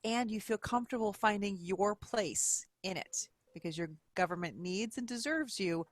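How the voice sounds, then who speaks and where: A woman's voice: mid-range voice, expressive, normal speed, no echo. A middle-aged woman, a guest author, explaining things in an upbeat way during an interview. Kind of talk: interview talk.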